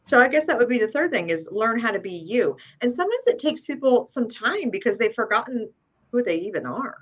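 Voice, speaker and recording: A middle-aged woman, a real estate agent, talking casually as a podcast guest, upbeat and tagging her points with a quick "right?". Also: fairly high pitch, sing-song, fairly bright tone, lo-fi sound. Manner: upbeat, talks in quick asides with frequent "right?". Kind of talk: casual interview chat.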